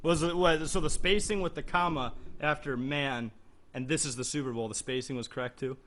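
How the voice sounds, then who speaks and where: A man's voice: on the high side, steady tone, brisk, a bit bright, a little hiss or hum. A young man co-hosting a casual interview, eager and joking, deferring to his guest. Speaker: show co-host.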